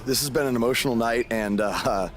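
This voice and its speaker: A man acting as an earnest young rookie pro wrestler in a video game cutscene, talking casually and haltingly with his buddy. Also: medium pitch, a little hiss or hum, lightly acted. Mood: earnest, overwhelmed. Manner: casual, halting, bro-ish talk.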